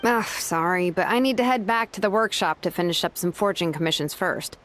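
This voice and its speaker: A woman voicing a relaxed, easygoing adult blacksmith in a video game. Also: fairly low pitch, brisk, close to an everyday voice.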